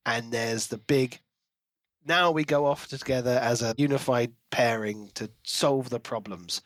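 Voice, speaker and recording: A man with a mid-pitched to slightly low voice talks casually as a podcast co-host. He works through ideas aloud with questions and little acted-out lines. The sound is very dry, with no room echo. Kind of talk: casual book discussion.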